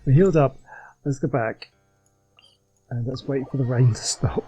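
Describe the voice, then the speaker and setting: A man's voice: medium pitch, moderate pace, mellow. A man giving casual, exclamatory gameplay commentary as a chatty, self-amused streamer. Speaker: gaming streamer. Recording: game music behind.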